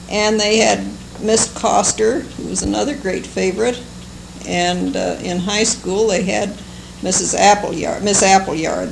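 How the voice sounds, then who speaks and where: An older American woman with a creaky, somewhat low voice shares memories in an unhurried, halting manner. She sounds like a longtime resident being interviewed for oral history. The amateur recording carries clear background noise. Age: older, seventies or above. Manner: unhurried, halting, fond recollection.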